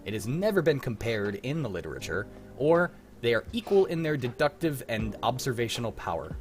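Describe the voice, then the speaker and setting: A young man hosts a relaxed group quiz on an online stream. His mid-pitched voice moves around a lot, casual and conversational, with music playing clearly behind him. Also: a little fast. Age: young adult. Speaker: quiz host. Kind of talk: casual chat and trivia hosting over music. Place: online group livestream call.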